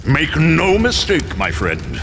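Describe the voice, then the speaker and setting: An actor playing a commanding, menacing supervillain mastermind in a video game, speaking in an imperious, deliberate, theatrical way. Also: male voice, on the high side, huge pitch swings, creaky, a bit bright, soft background music, full character voice. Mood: imperious, threatening. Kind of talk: video game cutscene acting.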